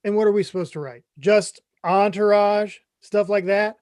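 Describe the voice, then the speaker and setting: A man's voice: high-pitched, steady tone, normal speed, darkish tone, no echo, clean studio sound, lightly acted. A man playing a clueless, chummy middle-aged boyfriend in a comedy script read, earnest and a bit rambling. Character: Craig, mom's 55-year-old boyfriend, clueless, eager, chummy.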